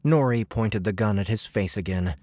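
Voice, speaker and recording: A man's voice: fairly low pitch, moderate pace, dry recording. A man narrating an audiobook with dramatic, scripted delivery. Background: loud music.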